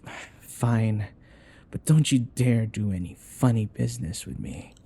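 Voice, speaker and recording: A man voicing a world-weary, wary vampire in a breathy, gravelly, languid voice for an ASMR roleplay. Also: unhurried, warm tone, exaggerated voice. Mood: grudging, flustered. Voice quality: breathy, gravelly, low-key.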